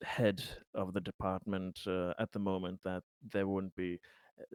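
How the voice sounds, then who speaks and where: A man playing a polite, fumbling, flattering survey official in a tabletop roleplaying game, speaking in a halting, very courteous way. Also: sits low, smooth, dry recording, clean studio sound, pitched below the performer's own voice, lightly acted. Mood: courteous, hesitant. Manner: halting, hesitant, overly courteous.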